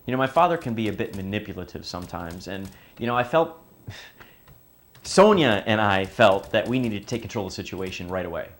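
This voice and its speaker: A man's voice: on the low side, sing-song, fairly quick. An actor playing a talkative adult son who explains his family in a casual, wry, conversational way in a film drama. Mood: defensive. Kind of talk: naturalistic film acting, interview testimony.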